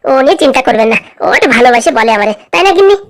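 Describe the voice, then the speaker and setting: A woman's voice: fairly high pitch, lively intonation, rapid-fire, a bit bright. A woman voicing a boastful, wheedling village son-in-law in a comedy cartoon, with a Pabna Bengali accent. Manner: showy, wheedling, excuse-making. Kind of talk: rural comedy cartoon skit.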